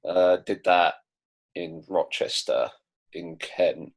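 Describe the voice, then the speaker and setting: A young man speaks in a very low, rough-edged voice. He chats casually as a musician answering interview questions, modest and self-deprecating in how he puts things. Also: takes it slowly. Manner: self-deprecating, laughs between phrases.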